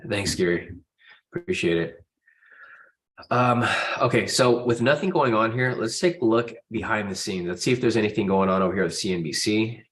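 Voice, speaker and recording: A man's voice: fairly low pitch, normal speed, fairly bright tone. A man with a stuffy nose from a cold, hosting a live trading stream in a casual, informal way and addressing viewers directly. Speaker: host of a live trading room.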